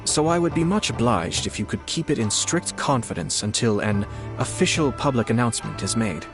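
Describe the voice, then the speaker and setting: Male actor as refined young aristocrat for a game: composed, courteous, formal, mid-pitched, faint music underneath. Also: lively intonation, lightly acted. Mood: composed, polite. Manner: formal, measured, polite. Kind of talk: scripted video game dialogue.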